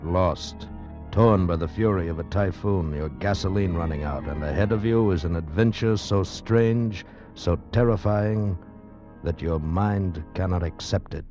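A man's voice: deep voice, a little slow. A man narrating a dramatic preview in a portentous way. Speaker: announcer. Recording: muffled old radio broadcast with music.

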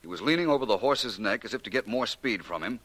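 An actor playing a suave, self-assured private detective in 1940s radio drama style, speaking with precise, urbane wording. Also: male voice, medium pitch, expressive, fast, fairly bright tone, no echo. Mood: confident, probing. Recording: noisy vintage 1940s radio recording.

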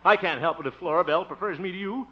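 An American actor playing a blustering, wisecracking small-town crooner and suitor in a 1950s radio comedy, theatrical and chuckling. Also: male voice, high-pitched, fast, close to an everyday voice. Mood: wry. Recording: muffled vintage radio recording, music.

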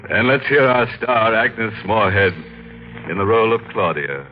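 A man's voice: lowish voice, expressive, normal speed, a little hiss or hum, natural voice. A man playing a gleefully macabre, mock-sinister horror host in the theatrical style of 1940s radio. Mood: mock-sinister, teasing. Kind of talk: 1940s radio horror hosting, tongue-in-cheek. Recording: tinny narrow-band old radio, organ music.

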